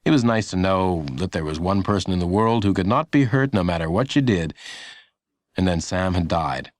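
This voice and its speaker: A man reading a children's audiobook as scripted narration, in a measured, dramatic storytelling manner. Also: low-pitched, expressive, no room sound, clean studio sound.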